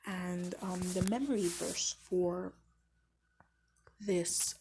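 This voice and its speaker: A young woman hosting a daily Bible chapter series, talking through the reading in a casual, soft, half-whispered way with a low voice. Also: extremely slow, noisy background. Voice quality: low, husky, gravelly voice. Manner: soft, half-whispered, flat delivery with fillers. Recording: low-quality home recording.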